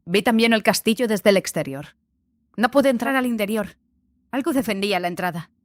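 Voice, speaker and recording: A woman playing an impulsive, curious young apprentice in a fantasy audiobook, excitable and full of questions. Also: mid-range voice, moderate pace, no echo, close to an everyday voice. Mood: wondering. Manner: excitable, inquisitive.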